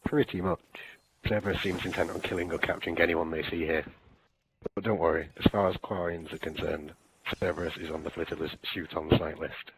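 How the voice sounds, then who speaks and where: A man playing a battle-hardened alien soldier in a sci-fi game audio drama, gruff, terse and wry, with a raspy voice. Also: low voice, steady tone, fairly quick, slight background noise, poor audio. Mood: matter-of-fact. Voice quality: gravelly, raspy. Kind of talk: fan audio drama, game-story character acting.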